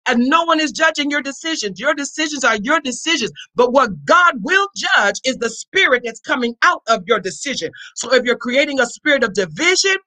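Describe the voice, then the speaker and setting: Pastor role: high, forceful voice, fervent church preaching, urging listeners on with repeated interjections. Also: animated, fairly quick, few pauses, rough recording. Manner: exhortatory, repeats refrains and interjections; emphatic, often raising the voice. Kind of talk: fervent charismatic church sermon.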